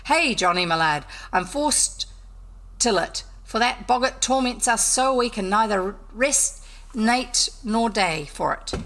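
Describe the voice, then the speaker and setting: A woman's voice: a little high, animated, a little slow, a bit bright. A woman voicing a weary, put-upon old male farmer, reading his dialect lines aloud in her own voice without putting on an accent. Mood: complaining.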